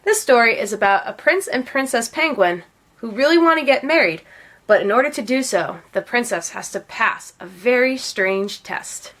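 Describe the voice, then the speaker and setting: A woman reading a children's picture book aloud as an expressive storyteller. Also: fairly high pitch, moderate pace. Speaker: read-aloud storyteller, teacher.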